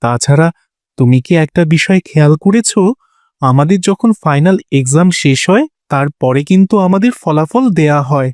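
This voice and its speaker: A man voicing a calm, pious older boy who explains faith in a measured, instructive way for a cartoon. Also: a little high, no echo, studio quality, lightly acted. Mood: patient explaining. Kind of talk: didactic Islamic cartoon dialogue.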